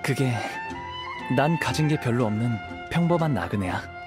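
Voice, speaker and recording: A man voicing a calm, modest young wandering swordsman in an anime game, soft-spoken and humble. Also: mid-range voice, moderate pace, music in the background, close to an everyday voice.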